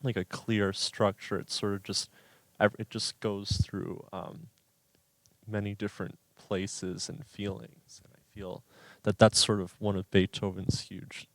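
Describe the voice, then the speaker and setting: A young man from New York City with a low, even voice. He speaks in a flat, musing way and pauses often to find his words. The recording is close and dry. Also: no room sound, poor audio. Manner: thoughtful, musing, hesitant with ums. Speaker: pianist, music student. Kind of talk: radio interview. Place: radio performance studio.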